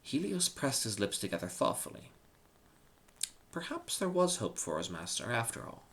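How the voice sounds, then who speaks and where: A man's voice: mid-range voice, big pitch swings, normal speed, fairly bright tone. A man reading a humorous short story aloud in a dramatic scripted narration. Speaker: story reader.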